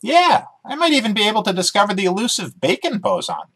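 Storybook pet dog, female, voiced by a man: high, eager, argumentative, very animated. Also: quick pace, breathy edge, heavily acted. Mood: excited, boastful. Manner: excitable, argumentative.

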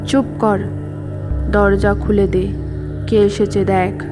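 A woman's voice: mid-range voice, flat delivery, slow pace, voice pushed down, lightly acted. A woman voicing a mysterious male amateur magician who gives terse commands in a storybook tale. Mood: curt, commanding. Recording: music under the voice.

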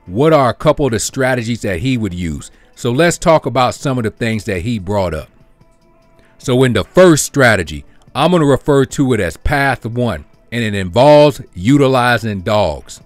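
A man hosting his own channel, explaining an investing strategy step by step over a screen recording in a steady, explanatory way. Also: medium pitch, moderate pace, no echo, faint music behind. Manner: steady, explanatory, walks through figures step by step. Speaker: crypto channel host. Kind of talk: screen-share walkthrough narration.

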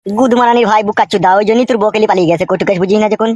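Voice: mid-range voice, steady tone, racing, darkish tone, no echo, clean studio sound, natural voice. A voice actor playing a foul-mouthed male henchman in a cartoon, crude and mocking. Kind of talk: cartoon voice acting.

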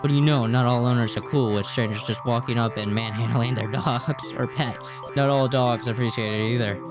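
A man's voice: medium pitch, steady tone, normal speed. Guy in his 20s doing a lets play, reads the story out and chats, trips on words a bit, music playing behind him. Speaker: video game streamer. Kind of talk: let's play, reading game text aloud with casual reactions. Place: small room indoors at a gaming setup. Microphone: close desk microphone, headset worn. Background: game music.

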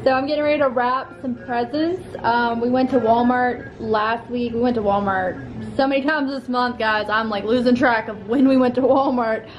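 A young woman filming a casual vlog at home, chatting and rambling with fillers and soft laughs, camera held close to her face. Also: fairly high pitch, fairly even intonation, normal speed, few pauses, dull audio, a little hiss or hum. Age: young adult. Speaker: vlogger. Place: living room at home. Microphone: handheld camera close to face.

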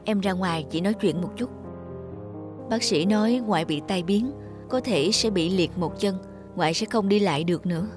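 A woman's voice: medium pitch, normal speed, music in the background, natural voice. Woman playing an earnest young cartoon sister. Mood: worried. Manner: earnest, sober. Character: serious, responsible young elder sister. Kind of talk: cartoon voice acting.

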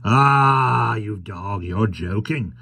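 A man's voice: on the low side, animated, very slow, creaky, sharp tone, slightly rough, exaggerated voice. A man voicing a smug, domineering merchant in a storybook reading, pompous, clipped and sardonic. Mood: smug, mocking. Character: sneering, smug, domineering male merchant Tackleton. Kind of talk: audiobook character voice.

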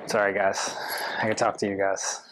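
A man's voice: lowish voice, little pitch movement, brisk, heavy background noise. A young man, a gym partner, chatting casually and counting reps to encourage his friend in a large indoor gym. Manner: easygoing, encouraging spotter. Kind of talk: casual chat and rep counting. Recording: gym with loud music behind.

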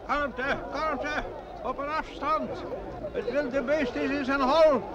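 A flustered, officious mayor in a vintage radio comedy. His voice is extremely high, amid loud background noise. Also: steady tone, takes it slowly, lo-fi sound, crowd noise. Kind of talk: old radio comedy drama acting.

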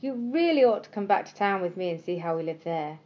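A woman's voice: fairly low pitch, lively intonation, fairly quick, lo-fi sound, natural voice. Woman voicing a politely superior town mouse. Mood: politely boastful. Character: polite, boastful male town mouse, worldly and persuasive.

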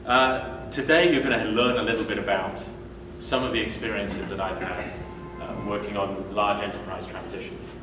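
A man's voice: fairly low pitch, fairly even intonation, normal speed, few pauses, over music. British man talking in an echoey meeting room. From United Kingdom. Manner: conversational, frequent "uh" fillers and restarts. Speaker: conference speaker. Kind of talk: conference talk. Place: hotel meeting room, indoors, medium size. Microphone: room mic from a distance. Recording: echoey room, distant mic, noisy.

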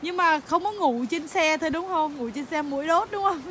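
A woman's voice: high voice, normal speed, fairly bright tone, slight background noise. A woman filming her family's camping trip, chatting cheerfully and switching between Vietnamese and English from behind the camera. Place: outdoor campsite among trees.

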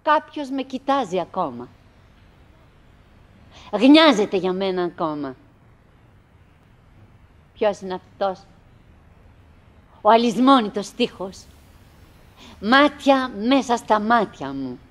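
An actress playing a wistful, lonely, chattering wife in a theatrical radio play, musing in fragments and repeating herself. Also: female voice, medium pitch, big pitch swings, lightly acted. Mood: wistful, resigned. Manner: fragmented, repetitive musing with pauses and self-address.

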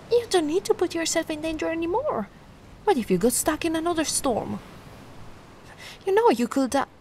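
A giant mermaid girl, acted by a woman in an ASMR roleplay, with a high voice that swoops and lilts widely. She is bubbly and affectionate, cooing and gushing in a cutesy way. Ambient noise runs clearly behind her. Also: darkish tone, exaggerated voice. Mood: curious, teasing. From Italy. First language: Italian. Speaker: roleplay performer.

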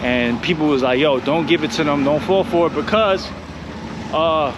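A man's voice: a little high, moderate pace. An American man in middle age chatting casually in slangy street talk as he hosts a walking vlog. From United States. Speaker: vlogger and host. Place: outdoors walking along a city street. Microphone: handheld vlog camera at arm's length. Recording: noisy outdoor street with traffic.

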